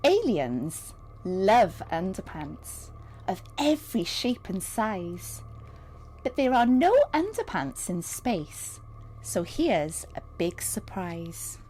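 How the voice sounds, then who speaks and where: A woman reading a picture book aloud to young children, expressive and speaking straight to her listeners. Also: medium pitch, slow, slight background noise, poor audio. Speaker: storyteller and teacher reading to children. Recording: music under the voice. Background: music and sound effects.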